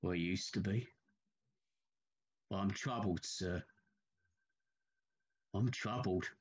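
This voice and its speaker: A man playing a humble, haunted Victorian railway signalman in an audio play, speaking gravely and haltingly with deference. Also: low-pitched, muffled recording, heavily acted. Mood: troubled, guarded.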